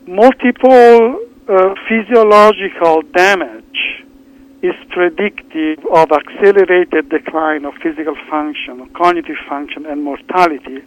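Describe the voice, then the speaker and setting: A man's voice: fairly high pitch, low-quality recording. A man from Italy with an Italian accent, a researcher answering interview questions with frequent restarts and fillers. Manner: halting, many restarts and fillers. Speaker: researcher guest.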